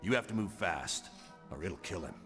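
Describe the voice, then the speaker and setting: An authoritative leader briefing his team in a video game. His voice is on the low side and measured, ringing in a hall over soft music. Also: lively intonation. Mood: calm briefing. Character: calm, authoritative male superhero team commander. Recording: long reverb with music.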